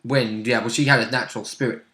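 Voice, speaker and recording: A man's voice: medium pitch, steady tone, fast talker, bright, forceful tone. A man chatting casually about the rules and story of a tabletop role-playing game as a co-host. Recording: roomy, echoing room sound.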